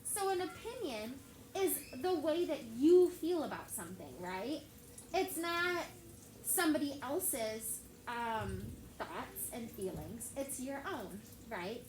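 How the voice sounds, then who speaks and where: Young teacher lady, fairly high voice, says "like" a lot, quizzing the kids, noisy room. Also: lively intonation, takes it slowly, darkish tone. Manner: chatty, asks the kids many questions, heavy use of "like". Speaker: elementary school teacher. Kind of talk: classroom read-aloud lesson. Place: classroom with children on a carpet. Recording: echoey classroom with background noise.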